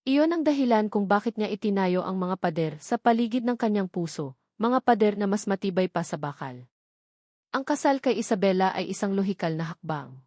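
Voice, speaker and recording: Filipina lady reading a dramatic love story, medium pitch, really dry close sound. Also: lively intonation, fairly quick, mellow, dry recording. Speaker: narrator.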